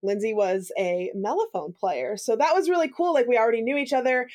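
A woman hosting a podcast, telling a story upbeat and animated in casual chat. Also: fairly high pitch. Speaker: podcast host.

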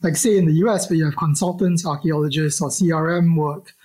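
A man from Singapore, answering interview questions about his work in a halting way with many restarts. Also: fairly high pitch, steady tone, a little mellow. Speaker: archaeologist guest.

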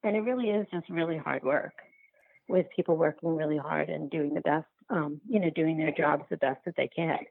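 Low-voiced woman on a phone-like line. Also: gravelly, breathy edge, no room sound. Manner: halting, thinking aloud, frequent rephrasing. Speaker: guest, documentary filmmaker. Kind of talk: reflective podcast interview conversation.

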